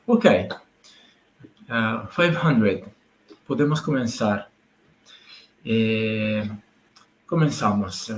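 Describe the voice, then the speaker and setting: Slow-talking Italian man on a livestream. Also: mid-range voice, echoey room, a little hiss or hum. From Italy. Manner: hesitant, halting, switching between Spanish and English. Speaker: event organizer hosting a live online meeting. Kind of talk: livestream briefing.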